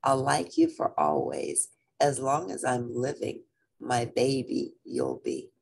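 A woman's voice: a bass voice, big pitch swings, slow, vocal fry, darkish tone, slightly rough, pitched below the performer's own voice, full character voice. A woman voicing a tender, devoted mother in a storybook, giving a repeated lullaby to her son.

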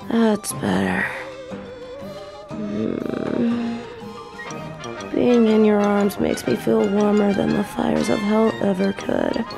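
A woman's voice: medium pitch, steady tone, drawn-out words, gravelly, pauses often, music in the background, exaggerated voice. A woman playing a sweet weary demon girlfriend. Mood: affectionate. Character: sweet tired female demon girlfriend, affectionate. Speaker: voice actor in an audio roleplay. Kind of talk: intimate audio roleplay.

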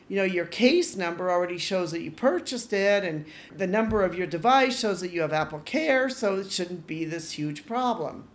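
A middle-aged woman hosting her own podcast, telling a frustrating customer-service story in a lively, exasperated way and acting out the dialogue. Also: mid-range voice. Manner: animated, exasperated retelling with quoted dialogue. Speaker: podcast host. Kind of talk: casual solo podcast storytelling.

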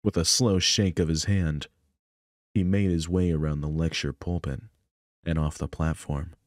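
A man's voice: very deep voice, fairly even intonation, normal speed, dry recording, studio quality. A man narrating a visual novel aloud as scripted story reading. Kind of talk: scripted narration with offhand asides.

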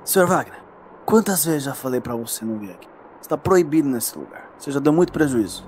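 Easygoing small-town male bartender, mid-pitched an actor. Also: lively intonation, quick pace, a little mellow, slight background noise, lightly acted. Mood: firm, uneasy. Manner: colloquial, familiar. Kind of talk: audio drama acting.

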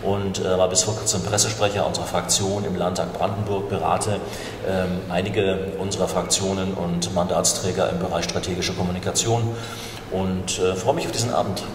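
A man's voice: low voice, flat delivery, normal speed. A middle-aged man, a politician on a panel, introducing himself formally. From Germany. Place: large conference room indoors. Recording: echoey hall with clear background noise.

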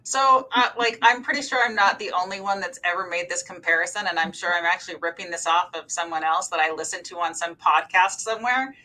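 A woman's voice: on the low side, brisk, few pauses, bright, forceful tone, poor audio. A woman on a faculty panel, talking informally and casually over a video call. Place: office, on a group video call.

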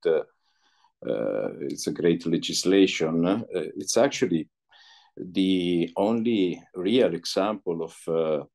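A middle-aged man from Italy, Italian his first language, answering interview questions on a video call in a halting way, with many pauses and fillers. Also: deep voice, darkish tone. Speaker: interview guest, entrepreneur. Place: indoor room, light walls. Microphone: video call laptop mic.

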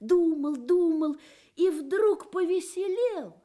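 A woman narrating a children's radio story in an expressive, measured storytelling manner. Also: extremely high pitch.

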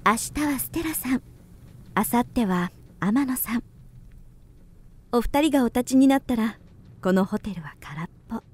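A woman's voice: fairly high pitch, animated, moderate pace, pauses often, breathy edge. A woman playing a friendly, girlish young hotel keeper's daughter in a radio drama, teasing and lovestruck.

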